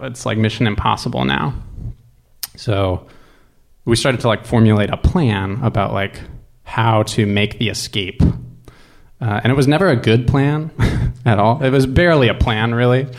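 A man telling a personal story casually, in a halting, reflective, conversational way peppered with filler words. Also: mid-range voice. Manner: halting, reflective, conversational with frequent 'like' and swearing. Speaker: guest storyteller.

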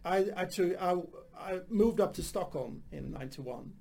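A man's voice: high voice, lively intonation, normal speed, gravelly, darkish tone. A man, a comedy director and former stand-up, talking in a rambling, casual way with many restarts. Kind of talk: casual podcast conversation.

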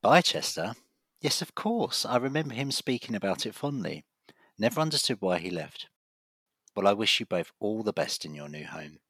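Man as husky, low-voiced family doctor. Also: very animated, normal speed, a bit bright, no echo, heavily acted. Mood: reassuring, concerned. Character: reassuring, persistent male family doctor with a secret. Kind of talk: audio drama acting.

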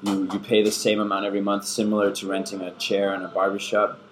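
A man in his twenties whose first language is German, answering interview questions in a casual, measured way and often repeating words while he thinks. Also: on the low side, completely flat delivery, slight background noise. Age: 20s. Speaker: podcast guest, fitness coach. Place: busy bar. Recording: bar crowd chatter behind.

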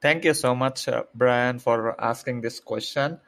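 A man's voice: mid-range voice, steady tone, unhurried, fairly bright tone. A young man from Bangladesh, a graduate student answering interview questions with careful explanations and many hesitant fillers. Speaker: guest, PhD student.

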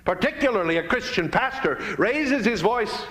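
An American man preaching in a dramatic, deliberate oratorical style, with emphatic swells, long pauses and hushed phrase endings. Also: sits high, animated. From United States. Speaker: pastor, guest speaker. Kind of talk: fiery sermon-like political speech to a live audience.

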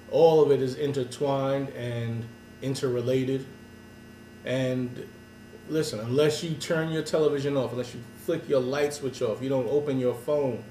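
A man's voice: mid-range voice, a little mellow, roomy sound, distant mic, a little hiss or hum, poor audio. A man co-hosting a podcast in casual chat, teasing and provocative, rattling off lists of names. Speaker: podcast co-host.

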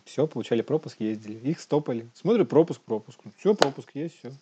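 A man's voice: medium pitch, animated, fairly quick, background noise. A man co-hosting a podcast, telling stories casually and reading out news items, on slightly muffled audio. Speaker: podcast co-host.

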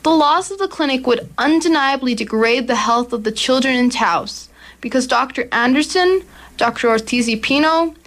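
A teenage girl reading her own commentary for a youth radio program in an earnest, steady way. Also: high voice, moderate pace. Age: teens. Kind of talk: read commentary.

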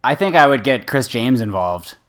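A man co-hosting a podcast, chatting casually and jokingly in a halting, rambling, informal way. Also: mid-range voice, expressive, fairly bright tone. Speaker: podcast co-host.

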